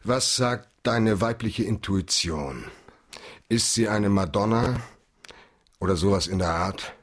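An actor playing a cool, worldly private detective in a radio drama, laconic, flippant and casually familiar. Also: male voice, on the low side, slow. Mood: probing, businesslike.

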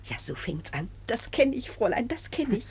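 An actress playing a middle-aged judge's wife in a radio play, condescending and gossipy. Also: female voice, fairly high pitch, very animated, normal speed, darkish tone, slight background noise. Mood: nosy, knowing. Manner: gossipy, knowing, sighing.

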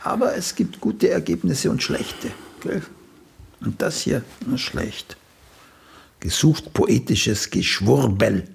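A man's voice: medium pitch, big pitch swings, slow, gravelly, a little hiss or hum, full character voice. An older male actor playing a blunt, vain, once celebrated playwright in a German radio play, opinionated and sardonic in manner. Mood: scornful. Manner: opinionated, sardonic, tags questions with "gell".

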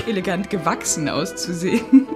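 A woman's voice: on the low side, wildly swooping pitch, unhurried. An actress playing a carefree, teasing woman friend in a radio drama, playful, coaxing and mocking. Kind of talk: literary radio drama acting. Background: music.

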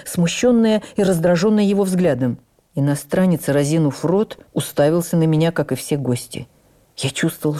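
Radio memoir narrator: woman, lowish and warm-toned, measured, expressive literary delivery. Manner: measured, expressive, deliberate phrasing. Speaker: actress reading a memoir.

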